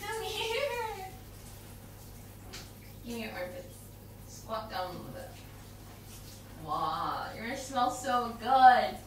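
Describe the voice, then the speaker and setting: Woman acting a playful young girlfriend, ASMR roleplay, girlish high voice, affectionate teasing, very echoey and away from the mic. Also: sing-song. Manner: giggly, coaxing, unhurried murmuring with pauses. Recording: echoey, distant, noisy with running bathwater.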